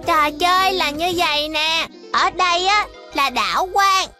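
An imperious, excitable little cartoon girl. The voice is extremely high and insistent, styled for a children's dub. Music is clearly heard behind it. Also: unhurried, heavily acted. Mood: excited. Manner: bossy, insistent. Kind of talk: dubbed children's cartoon acting.

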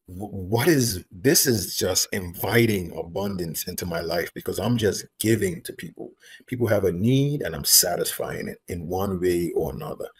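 A man in his thirties from Bermuda, a homeless advocate, talking in an earnest, measured way over a video call from home and repeating key phrases for emphasis. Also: low voice, animated, husky. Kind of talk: reflective interview talk. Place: room at home. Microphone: video call, laptop camera.

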